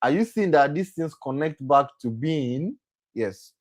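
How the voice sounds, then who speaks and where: A man's voice: fairly high pitch, expressive, unhurried. A young man hosting a motivational session for young people. He often repeats himself, corrects himself as he goes, and keeps checking in with "right?". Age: young adult. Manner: repetitive, self-correcting, often asks "right?". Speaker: program host and speaker.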